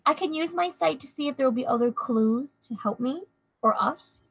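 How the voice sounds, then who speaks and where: A woman's voice: sits high, normal speed, a bit bright, no room sound, low-quality recording. A woman playing a tabletop role-playing game, thinking aloud casually and somewhat tentatively.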